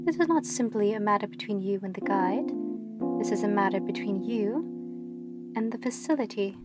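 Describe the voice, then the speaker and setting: A woman playing a stern, measured supervisor of a secretive facility in an audio drama, formal, clipped and authoritative. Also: medium pitch, lightly acted. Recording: prominent musical backing. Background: plucked guitar music.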